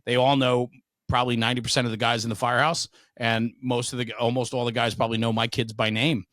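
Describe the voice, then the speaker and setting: A man's voice: medium pitch, level tone, quick pace, a bit bright, no room sound. A middle-aged man telling stories casually as an interview guest, frank, emphatic and opinionated. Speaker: podcast guest, veteran firefighter and speaker.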